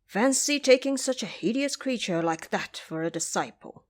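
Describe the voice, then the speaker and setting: A woman's voice: a little high, animated, moderate pace, close to an everyday voice. A temple monk acted by a woman, polite and easily rattled. The manner is courteous yet nervous, in the style of an audio drama set in old legend. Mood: frightened. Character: courteous but timid, easily frightened male temple monk.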